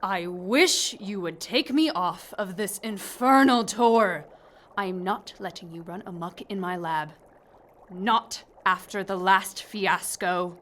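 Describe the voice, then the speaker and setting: A woman acts out an older female mad scientist in a radio play, irritable and cryptic. She speaks slowly and portentously in a bright, forceful voice, with faint noise in the recording. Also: medium pitch. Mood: irritated, grand. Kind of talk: audio drama acting.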